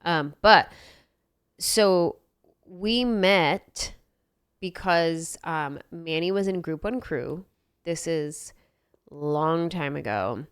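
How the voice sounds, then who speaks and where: A woman's voice: low voice, lively intonation, very slow, pauses often, clear, resonant tone, dry recording. A congested woman hosting a podcast in a studio, chatting casually and telling stories with wry, self-mocking asides. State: congested with a cold. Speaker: podcast host. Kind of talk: casual chat.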